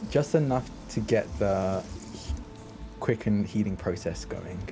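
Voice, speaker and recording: A man with a lowish voice describes his character's moves as a player in a tabletop game. His narration is casual and in the first person, with music behind it. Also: measured pace, creaky.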